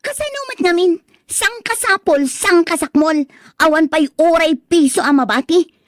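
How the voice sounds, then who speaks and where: A woman's voice: very high-pitched, lively intonation, moderate pace, no room sound, clean studio sound. A woman playing an exasperated young wife and mother in a radio drama, whining and scolding sharply. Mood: fed up, scolding. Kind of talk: Filipino radio drama acting.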